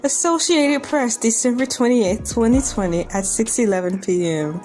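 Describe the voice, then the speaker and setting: A woman's voice: fairly high pitch, moderate pace, a little mellow, faint music behind. A playful, easily amused woman hosting an online video, reading a news article aloud and commenting on it casually. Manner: playful, giggly, easily amused by what she reads.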